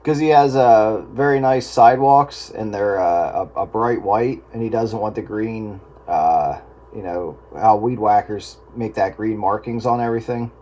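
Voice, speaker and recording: A man chatting casually on a two-way radio, in a halting, folksy way. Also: fairly low pitch, a little mellow. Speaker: radio hobbyist on a group channel. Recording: noisy two-way radio transmission.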